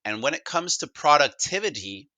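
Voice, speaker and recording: A man's voice: fairly high pitch, big pitch swings, normal speed, sharp tone, no echo. A Canadian man presenting a technology demo in a casual, conversational way, often stammering and repeating words as he goes.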